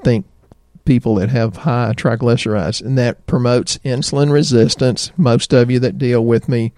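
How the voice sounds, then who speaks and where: A middle-aged man with a Southern American accent, a doctor chatting in a folksy, rambling way about health, his voice slightly hoarse. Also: medium pitch, steady tone, moderate pace, mellow, dry recording. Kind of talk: health talk show chat.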